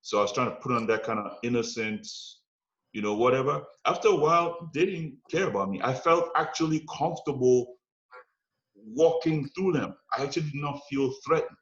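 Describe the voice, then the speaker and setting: A man casually telling long personal stories, animated and conversational, often checking in with "you know what I'm saying.". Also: medium pitch, normal speed. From United States. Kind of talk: casual storytelling chat. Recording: roomy, dull lo-fi podcast sound.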